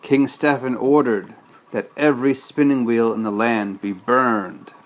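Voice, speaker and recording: A man's voice: mid-range voice, steady tone, a little slow, rough recording. A man reading a storybook aloud as a father, patiently breaking off to explain things casually to a child.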